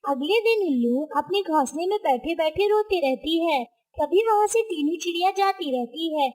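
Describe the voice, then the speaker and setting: High-pitched woman narrating a children's story. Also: expressive, normal speed, darkish tone.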